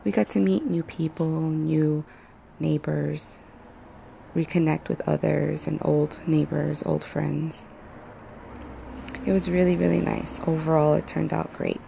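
A woman hosts her own podcast, recording straight into a phone. Her voice is hoarse and deeply gravelly, pitched a little low with a dark, mellow tone. She rambles casually, pausing often and filling the gaps with ums and uhs. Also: little pitch movement, a little hiss or hum. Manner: rambling, hesitant, many fillers. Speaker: podcast host. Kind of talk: casual solo podcast chat.

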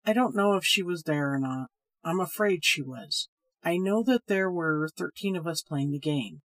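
A woman voicing a sad, hesitant man who tells a ghost story in a grave, measured way. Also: lowish voice, animated, no room sound. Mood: earnest.

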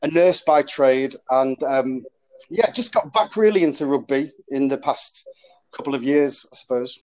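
A man's voice: mid-range voice, expressive, measured pace, a little mellow, rough recording. A man with a northwest English accent, a rugby club captain chatting casually as a podcast guest, full of ums and restarts. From Warrington, northwest England. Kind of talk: casual interview chat.

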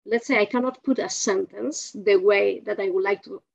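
A woman with a Spanish accent, a podcast host interviewing a guest, encouraging and quick to affirm. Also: medium pitch, creaky. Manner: encouraging, frequent backchannels.

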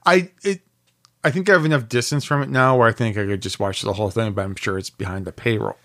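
A man's voice: fairly low pitch, fast, fairly bright tone, no room sound. A man co-hosting a podcast in casual chat, with wry, dry humor. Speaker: podcast co-host.

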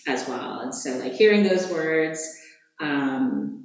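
A woman's voice: low voice, lively intonation, slow. A woman student sharing in a group circle, rambling and searching for words. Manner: rambling and searching, says like often. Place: meditation hall. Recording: very echoey hall.

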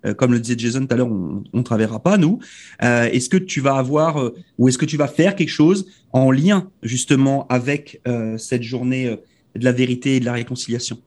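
A man's voice: medium pitch, fairly quick, darkish tone. A man from Europe hosting a radio panel discussion, emphatic and hesitant with many fillers. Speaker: host. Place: radio studio.

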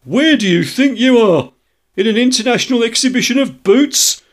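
A man voicing a surly, gruff shopkeeper with a thick, husky voice, firing off indignant questions in a story reading. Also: medium pitch, voice pushed up, natural voice. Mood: furious ranting. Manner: starts slow, grows into indignant ranting questions.

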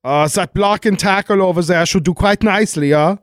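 An actor playing a fussy, scheming German villain in a comic 1940s-style radio play, with a German accent and theatrical, long-winded gloating. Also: male voice, high voice, fairly quick, background noise, close to an everyday voice. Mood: smug, gleeful. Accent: put-on German. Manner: theatrical, hesitant, over-explaining gloating.

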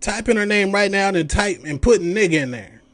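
A man in his late thirties rants in a high voice, heated and profane, and mocks his critics as he talks. The sound is dry and close, with no room around it. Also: lively intonation, normal speed, no room sound. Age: 30s. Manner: heated, profane, mocking rant with mimicked quotes. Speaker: podcast host. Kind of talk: ranting commentary.

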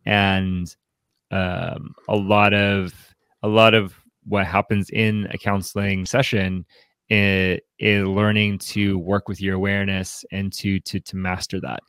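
Man, lower-pitched voice, dry sound, podcast host, casual discussion, halting delivery, repeated words. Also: level tone, fairly bright tone, smooth, no echo.

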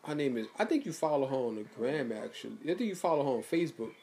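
Man, thirties, relaxed slangy co-host with an animated, swinging delivery and a faint hiss of room ambience behind. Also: quick pace, gravelly. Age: 30s. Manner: laid-back slangy talk, lively pitch swings. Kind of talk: casual chat.

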